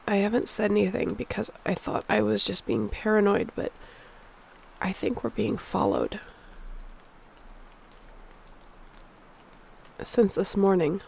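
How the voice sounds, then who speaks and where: A woman's voice: mid-range voice, vocal fry, a little mellow. A woman playing a sick, stubborn survivor of a zombie apocalypse in an audio roleplay, speaking in a weary, conversational way. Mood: uneasy, cautious. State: feverish, under the weather.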